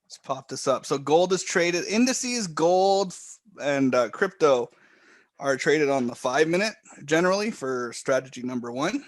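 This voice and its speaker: A man calmly walking viewers step by step through charts and trading software on a live stream. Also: a little high, measured pace, no echo. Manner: calm, explanatory, step-by-step. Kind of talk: live screen-share trading walkthrough.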